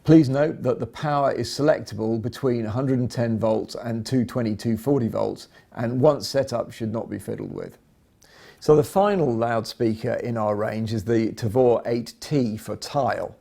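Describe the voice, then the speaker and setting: Male product presenter, mid-pitched and soft-edged, measured delivery for an instructional demo. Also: gravelly.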